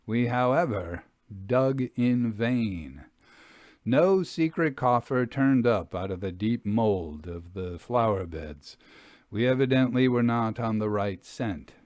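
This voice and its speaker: A male audiobook narrator reads literary fiction in a measured, deliberate manner. His pitch is average for a man and the recording is close, with hardly any room sound. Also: animated, darkish tone, dry recording. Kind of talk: scripted literary story narration.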